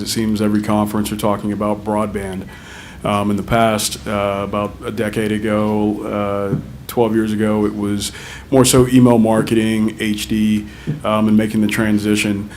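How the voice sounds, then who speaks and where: A man with a deep voice giving a conference talk as a vendor, casual and full of ums. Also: completely flat delivery, normal speed, darkish tone, a little hiss or hum. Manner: casual, many ums and uhs. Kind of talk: conference presentation. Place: conference room with audience.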